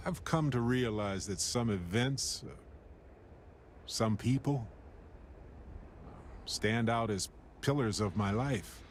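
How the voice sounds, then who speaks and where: A man playing a warm, sincere middle-aged space explorer in a video game, speaking in a measured, wistful way. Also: mid-range voice, a little hiss or hum, close to an everyday voice. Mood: wistful, reflective. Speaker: video game character actor. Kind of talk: dramatic video game dialogue.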